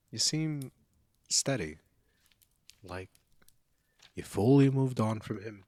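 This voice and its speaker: A man's voice: on the low side, a little mellow, dry recording. A man playing a caring, protective young college friend in an intimate, one-sided audio roleplay, speaking in an earnest, conversational way. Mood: surprised, relieved.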